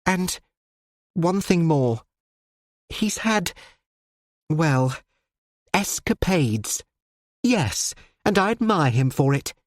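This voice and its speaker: A breathless, impulsive young woman, as a reader puts her on, pushes every line with urgent emphasis. Her voice rides well above the narration and swings widely in pitch. The recording is close and dry. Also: expressive, very slow, pauses often, no room sound.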